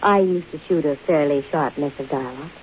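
A woman's voice: low voice, a little fast, close to an everyday voice. An actress playing a wisecracking, self-assured female private detective with dry, sardonic wit and an American accent, in 1950s radio drama style. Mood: wry, coolly flirtatious. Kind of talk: 1950s American radio drama acting with first-person narration. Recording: muffled 1950 radio broadcast, hissy.

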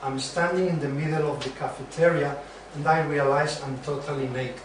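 A man playing a wry young joker in an amateur sitcom reading, with deadpan delivery. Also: on the high side, moderate pace, natural voice. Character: wry, joking young male friend, Chandler. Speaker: student in an amateur dramatized reading. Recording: distant, very echoey room, faint noise.

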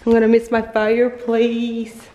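Mellow-voiced woman gushing on a home tour. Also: fairly high pitch, monotone, a little slow, lo-fi sound. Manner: chatty and gushing, handheld walkthrough. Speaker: lifestyle vlogger. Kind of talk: casual home tour vlog. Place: apartment indoors. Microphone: handheld compact camera mic.

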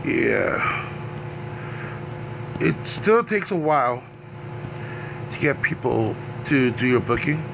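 A man's voice: medium pitch, slow pace, vocal fry, pauses often. A man with a cold and a scratchy voice, casually commenting as he plays a wrestling management game. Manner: relaxed, rambling narration of his picks. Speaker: gaming channel host. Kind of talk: let's play commentary.

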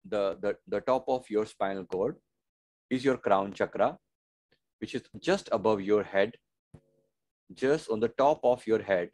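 A man's voice: fairly low pitch, a little mellow. A man giving guided breathing meditation instructions, repeating short phrases as he goes.

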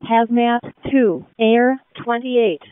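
A woman works as a fire dispatcher, reading out a call-out very slowly. Her voice is clear and a little high. It comes through a narrow, muffled emergency radio channel. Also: no room sound.

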